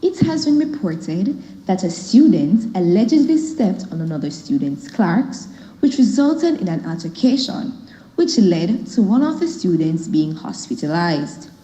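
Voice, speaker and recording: A young woman puts on a formal Jamaican newsreader on a school stage. Her voice is dark and mellow, and her pitch swings widely. The sound echoes around a large hall. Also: very animated, a little slow. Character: crisp formal female TV news reporter.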